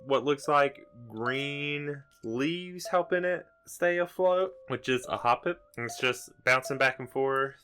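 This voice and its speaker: A man's voice: medium pitch, slow pace, hardly any pauses, bright, forceful tone. A man acting as game master, narrating an improvised tabletop adventure to players. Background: background music.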